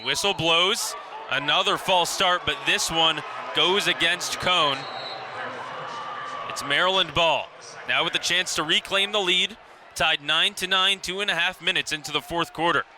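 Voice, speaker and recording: A man in his twenties giving live radio play-by-play in clipped, descriptive phrases that grow excited on big plays. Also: fairly high pitch, moderate pace. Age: 20s. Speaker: play-by-play commentator. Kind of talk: live radio sports commentary. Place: outdoor lacrosse stadium. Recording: noisy outdoor broadcast with stadium ambience.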